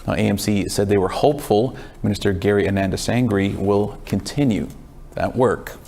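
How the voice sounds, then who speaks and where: A man reading scripted news as an anchor in a measured broadcast style. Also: lowish voice, gravelly, mellow.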